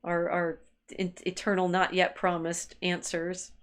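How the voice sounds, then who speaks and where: A woman with a slightly low voice talks through ideas as a co-host of a discussion. Her delivery is halting, circling back over the same words while she hunts for the right phrase. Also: monotone. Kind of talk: intellectual discussion, thinking aloud.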